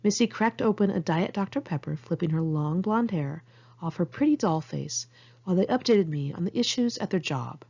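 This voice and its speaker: Husky, creaky-voiced woman reading her memoir. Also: fairly low pitch, animated, moderate pace, mellow. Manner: conversational, candid, slangy. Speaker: author reading own memoir. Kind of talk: audiobook narration of a personal memoir, read in one take. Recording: muffled lo-fi amateur recording.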